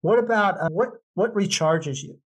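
Higher-pitched American man hosting a podcast. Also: normal speed, husky. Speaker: podcast host, interviewer. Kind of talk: casual interview.

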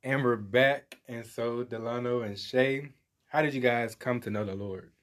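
A man's voice: mid-range voice, steady tone, unhurried, music in the background. Upbeat man hosting a podcast. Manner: upbeat, laughs and reacts. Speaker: podcast host.

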